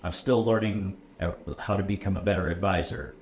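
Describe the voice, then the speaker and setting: A lower-pitched American man in his thirties talks through his career in a loose, conversational way, dropping ums and checking in with "okay?" as he explains. The room rings a bit around him, with a faint hum underneath. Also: steady tone, a little fast, a little mellow, highs cut off. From USA. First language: English. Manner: casual, many ums and uhs, checks in with "okay?". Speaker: computer science instructor. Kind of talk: relaxed conversational interview. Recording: roomy echo, faint room noise.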